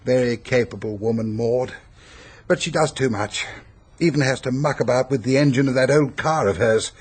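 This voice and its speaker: An actor in a radio drama playing a pompous, peevish, self-pitying upper-class English hypochondriac who blusters and complains. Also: male voice, medium pitch, normal speed, slightly rough, close to an everyday voice. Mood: grumbling.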